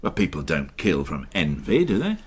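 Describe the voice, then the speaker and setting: An actor playing a dry, wry, middle-aged police inspector in a radio crime drama, husky and questioning. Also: male voice, a bass voice, huge pitch swings, moderate pace, full character voice. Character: dry, sceptical male police inspector in charge.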